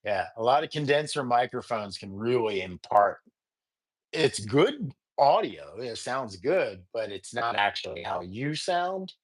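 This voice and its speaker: A man with a deep voice hosting a casual audio gear tutorial, rambling and halting with many fillers. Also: sing-song. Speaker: podcast host.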